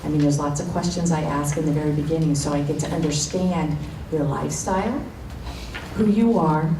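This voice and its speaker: A soft-spoken woman giving an informal talk to a room of women, starting a little nervous and speaking off the cuff. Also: low-pitched, a little fast. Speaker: guest speaker. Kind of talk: informal presentation. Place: meeting room. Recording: echoey room, distant mic, noisy.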